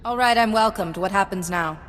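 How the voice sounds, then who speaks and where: An actress playing a cool, ruthless warrior mystic in a video game, curt, assured and coldly sardonic. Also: female voice, medium pitch, fairly quick, fairly bright tone, in a large hall, lightly acted. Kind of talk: video game dialogue acting.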